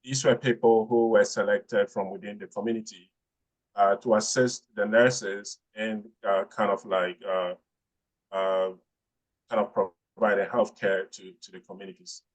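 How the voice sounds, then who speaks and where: A researcher from Ghana answering a webinar question, speaking haltingly with many fillers and restarts. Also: male voice, on the low side, fairly even intonation, poor audio. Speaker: researcher presenting.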